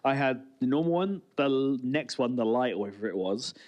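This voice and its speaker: A man with a medium-pitched voice co-hosts a podcast in casual conversation. He keeps up a jokey, self-deprecating banter. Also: animated, a little fast, a little mellow. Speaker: podcast co-host.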